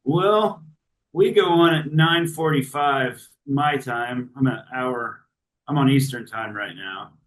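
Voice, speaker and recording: A gravelly-voiced man in his forties talks at an ordinary middle pitch. He answers questions in an easygoing, rambling way, full of "uh" and "you know". He is heard from a hotel room through a video call. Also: takes it slowly, slight background noise, low-quality recording. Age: 40s. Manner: relaxed, rambling, with frequent fillers. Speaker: guest musician. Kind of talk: casual interview chat.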